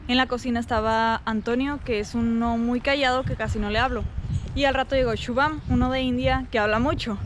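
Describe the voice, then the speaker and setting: A woman's voice: fairly high pitch, fairly even intonation, brisk, noisy background. A young woman with a Mexican accent, telling stories from her week in a chatty, colloquial vlog style. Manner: chatty, colloquial, acts out reported dialogue. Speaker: vlogger. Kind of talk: casual vlog storytelling.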